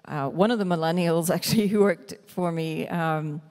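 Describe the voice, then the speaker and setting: A woman's voice: on the low side, moderate pace, darkish tone. A woman in her forties on a conference panel, talking frankly and laughing often. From Ireland. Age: 40s. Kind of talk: panel discussion. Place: large conference hall stage. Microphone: handheld mic. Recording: reverberant hall.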